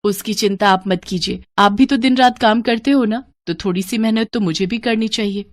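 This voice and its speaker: A woman's voice: mid-range voice, normal speed, dry recording, lightly acted. Woman playing devoted young wife, soothing, respectful, earnest, storybook drama, dry close sound. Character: devoted, gentle young wife and daughter-in-law. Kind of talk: dramatised Hindi moral story.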